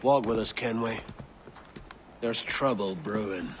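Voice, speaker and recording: An actor voicing a gruff, commanding pirate captain, emphatic and ominous, in a video game cutscene. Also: male voice, fairly low pitch, a little slow, noisy background, natural voice. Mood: grave.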